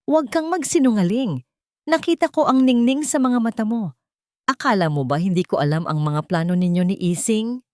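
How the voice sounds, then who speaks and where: A woman's voice: fairly high pitch, normal speed, dark tone, dry recording, studio quality, voice pushed up, lightly acted. Woman acting a menacing, possessive husband. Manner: menacing, domineering, cold. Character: cruel, possessive, jealous rich husband.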